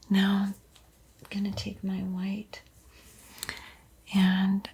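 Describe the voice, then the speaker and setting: A woman giving a gentle, encouraging art tutorial in a soft, breathy ASMR whisper. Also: mid-range voice, rough recording. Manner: slow, gentle, encouraging instruction. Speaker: host teaching a beginner painting tutorial.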